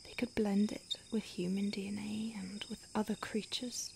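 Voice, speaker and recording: A woman voicing a starved, remorseful, centuries-old female vampire in a soft, breathy, halting whisper for an ASMR roleplay. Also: medium pitch, flat delivery, background noise, lightly acted. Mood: grave, hushed. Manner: slow, intimate, halting.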